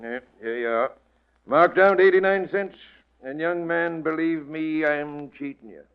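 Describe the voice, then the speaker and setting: An actor playing a grumpy, sardonic middle-aged American bookshop owner, curt and disdainful, in a 1940s radio drama. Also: male voice, fairly high pitch, a little slow. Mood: dryly scornful. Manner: curt, grumbling, dryly disdainful. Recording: thin, narrow old radio recording.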